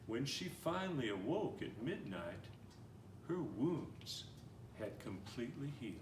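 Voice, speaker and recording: A man reading a picture book aloud in a gentle, animated storytelling manner, slowing down and speeding up to shape the tale. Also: mid-range voice. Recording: roomy, somewhat distant mic with faint noise.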